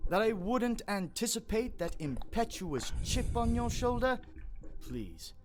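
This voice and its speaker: Haughty, high-voiced aristocratic vampire prince. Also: male voice, big pitch swings, a little slow, over loud music. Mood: scornful, commanding. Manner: pompous, mocking, commanding. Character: haughty, smug aristocratic male vampire ruler. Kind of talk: dramatic video game voice acting.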